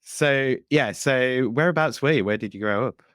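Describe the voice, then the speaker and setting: A man hosting an interview podcast, chatting in a relaxed way with short prompts and asides. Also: medium pitch, moderate pace, smooth, dry recording, clean studio sound. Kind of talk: casual interview chat. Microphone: remote interview recording.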